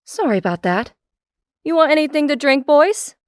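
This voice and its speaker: Lady acting as gushing protective mom in an audio drama, highish voice that moves around a lot. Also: lively intonation, normal speed, a little mellow, no echo, studio quality. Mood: gushing, hospitable. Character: gushing, insistent, protective mother of a teenager.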